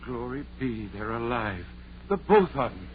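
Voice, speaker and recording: A man's voice: mid-range voice, very animated, a little slow. A man playing a stern, determined country doctor leading a desperate search, in commanding 1930s radio drama style. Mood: urgent, commanding. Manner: commanding, urgent. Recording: muffled, noisy 1930s radio recording.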